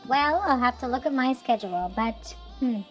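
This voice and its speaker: A young man doing a polite high-voiced receptionist lady. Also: lively intonation, moderate pace, fairly bright tone, faint music behind. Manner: polite, businesslike, raised falsetto. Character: polite female studio receptionist, adult.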